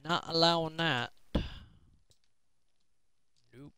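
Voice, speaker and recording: A man's voice: a little high, very slow, pushed and bright. A man giving casual gameplay commentary, muttering to himself as he thinks aloud. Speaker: gamer hosting a roleplay video series.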